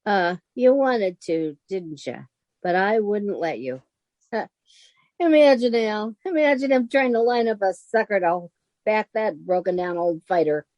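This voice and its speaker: Casting: actress as practical, brisk young roommate, 1940s radio comedy; mellow tone, lively melody, dry recording. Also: female voice, mid-range voice, no room sound, lo-fi sound, natural voice. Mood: skeptical, teasing. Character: sensible, practical young woman, bossy but affectionate roommate.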